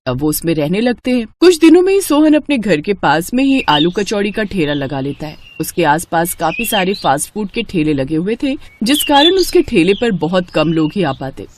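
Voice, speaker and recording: Female narrator for moral tales, very animated intonation, slight noise behind. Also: fairly low pitch, normal speed, hardly any pauses. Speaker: story narrator. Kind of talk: scripted moral-story narration.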